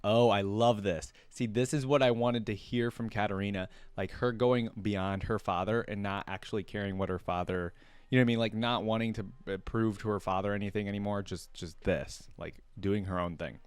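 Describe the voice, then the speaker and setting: A man's voice: lowish voice, fairly even intonation, fairly quick, clear, resonant tone, dry recording. A man hosting a reaction video, reading a story aloud and adding casual, conversational fan commentary.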